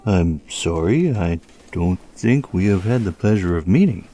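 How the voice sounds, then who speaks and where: A very low, mellow male voice for a small-town police officer acted by a man in an audio drama. He is measured and dry, with a stolid, philosophical air. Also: lively intonation. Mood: polite, probing. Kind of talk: scripted audio drama acting.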